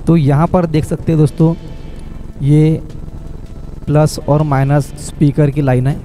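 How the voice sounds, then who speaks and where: A man's voice: on the high side, fairly even intonation, measured pace, dark tone, faint music behind. A man, a mobile repair technician who explains a phone repair step by step and keeps calling the viewers "friends".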